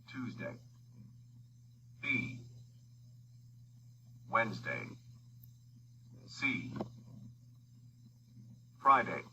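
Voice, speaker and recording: A man's synthetic read-aloud voice from testing software, reading instructions and questions in a flat, clipped way. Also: fairly low pitch, slight background noise, low-quality recording. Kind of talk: synthetic screen reader narration.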